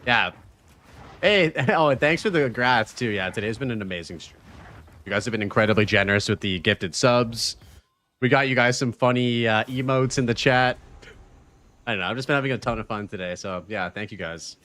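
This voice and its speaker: A man in his thirties streaming a video game live, chatting casually with his viewers and reacting excitedly with slang and swearing. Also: medium pitch, normal speed. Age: 30s. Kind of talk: casual live-stream chat while gaming.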